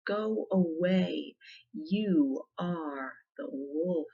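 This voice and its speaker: Little storybook goslings , voiced by a woman speak earnestly and cautiously in a soft ASMR hush. The words come very slowly, on a slightly muffled recording. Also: low-pitched, creaky, breathy edge, heavily acted. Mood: wary. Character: little young goslings, obedient, wary storybook creatures.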